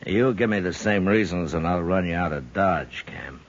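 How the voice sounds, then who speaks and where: An actor playing a stern, terse, dry U.S. Marshal in a 1950s radio western. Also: male voice, expressive, moderate pace. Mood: firm warning. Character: stern, laconic U.S. Marshal of the Old West. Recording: muffled, noisy 1950s radio recording with rumble.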